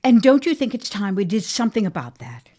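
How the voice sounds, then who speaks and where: A woman's voice: medium pitch, sing-song, brisk, warm tone. An actress playing a stubborn, sentimental middle-aged widowed mother in a period audio drama, theatrical and insistent with old-fashioned phrasing. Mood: pleading.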